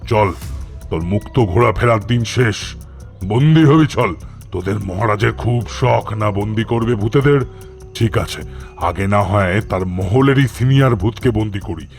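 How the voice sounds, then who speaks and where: An actor playing a wicked, gloating male tantric sorcerer who chants slowly and threatens with a gravelly voice. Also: on the low side, soft background music. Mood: menacing. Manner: slow chanting, mocking threats.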